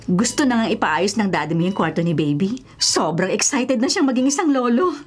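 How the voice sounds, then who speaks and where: Caring Filipino mother, swooping pitch. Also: lively intonation, fairly quick, breathy edge. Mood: reassuring. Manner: motherly, gently emphatic. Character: caring wealthy mother, concerned and softening. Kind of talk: Tagalog radio drama acting. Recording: radio drama with music underneath.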